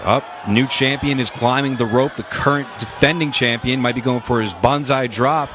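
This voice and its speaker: A man's voice: mid-range voice, steady tone. Male play-by-play wrestling announcer, noisy. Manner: rapid move-by-move calling, jokey asides. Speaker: wrestling commentator. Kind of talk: live play-by-play commentary.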